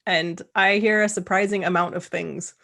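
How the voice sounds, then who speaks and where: A woman hosting a casual interview, talking in a chatty way with quick asides. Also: fairly low pitch, fairly even intonation, vocal fry, fairly bright tone. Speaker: host. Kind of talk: casual video-call chat.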